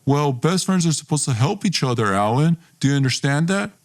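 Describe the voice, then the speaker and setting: A man's voice: fairly high pitch, lively intonation, a little fast. A man playing a hostile, bitter young best friend in dramatized dialogue, accusatory and confrontational. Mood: demanding.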